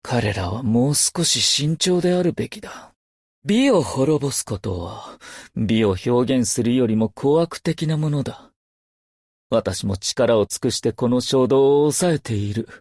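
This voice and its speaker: An actor voicing a brooding, haunted stage performer turned loyal assassin in a video game, with solemn, theatrical and poetic delivery. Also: male voice, medium pitch, animated, unhurried, a little mellow, no room sound, studio quality. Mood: calm, reflective, then tormented, intense. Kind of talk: scripted game character voice lines, theatrical monologue.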